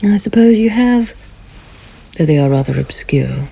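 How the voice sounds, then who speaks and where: An actress playing a romantic, headstrong wife in a radio drama, theatrical and intense. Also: female voice, sits low, animated, unhurried, vocal fry, warm tone, slightly rough, exaggerated voice, poor audio. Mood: dreamy, teasing. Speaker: actor. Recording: radio drama with background effects noise.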